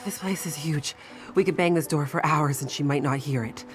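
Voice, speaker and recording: Music plays clearly behind a low-voiced woman acting in a game, speaking slowly. She is a weary young scientist, earnest and a little hesitant, given to breathy sighs. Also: close to an everyday voice. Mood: worried. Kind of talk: video game cutscene acting.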